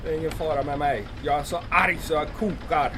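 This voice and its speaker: A man's voice: a little high, steady tone, noisy background, natural voice. A man playing a stubborn, bitter old fisherman in an audio drama, speaking in an emotional, plaintive way. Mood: indignant, weary.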